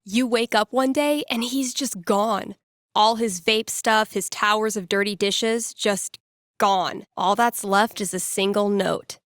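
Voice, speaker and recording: A woman's voice: medium pitch, no room sound, clean studio sound, lightly acted. A woman playing a wry young radio DJ and playful fortune-teller in a video game, speaking in a casual, teasing storyteller's way. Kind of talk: video game voice acting, conversational second-person storytelling.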